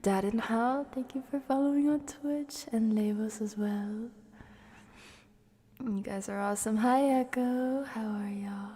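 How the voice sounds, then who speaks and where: A woman live streamer with a soft, gentle manner, drifting between casual ASMR chat and soft singing. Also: a little high, steady tone, a little slow, husky.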